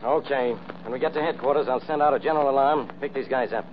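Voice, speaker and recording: An American actor playing a blustering, stubborn, gullible police inspector, gruff and bossy, in 1940s radio style. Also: male voice, mid-range voice, fast talker, lightly acted. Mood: skeptical, confident. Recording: dull, noisy old radio recording.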